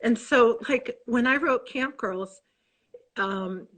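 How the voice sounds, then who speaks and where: A woman's voice: a little high, slow pace, fairly bright tone, low-quality recording. Chicago lady in her 60s, author on a video call, gushy and rambly, keeps restarting sentences. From Chicago, USA. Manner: effusive, rambling, self-interrupting. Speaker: author guest. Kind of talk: casual interview chat.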